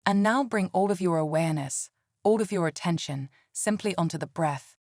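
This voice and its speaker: A woman leading a scripted guided meditation in a calm, soothing and gentle way. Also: lowish voice, normal speed, no echo, studio quality.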